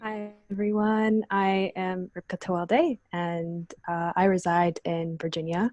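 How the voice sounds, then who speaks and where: A young college student introducing herself on a video call, hesitant and full of filler sounds. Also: female voice, fairly low pitch, level tone, measured pace, darkish tone, no room sound. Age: young adult.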